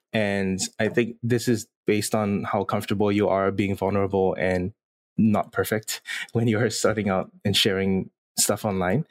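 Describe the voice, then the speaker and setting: A man in his thirties from Singapore, a podcast guest talking in a relaxed, reflective way with small laughs. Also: lowish voice, no room sound. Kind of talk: casual interview conversation.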